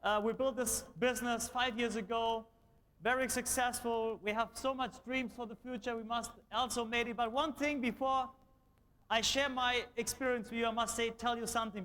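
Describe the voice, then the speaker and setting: A man's voice: very high-pitched, a bit bright. A man from Germany with a German accent, giving an enthusiastic motivational speech on stage in slightly imperfect English. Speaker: motivational speaker at a sales event. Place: large event hall on stage.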